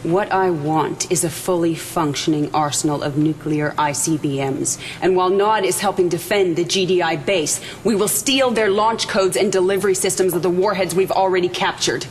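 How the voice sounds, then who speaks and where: An actress playing a resolute, defiant military faction leader in a video game cutscene, speaking formally and with measured authority. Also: female voice, on the low side, slight background noise, lightly acted. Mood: cold resolve. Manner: formal, measured briefing delivery.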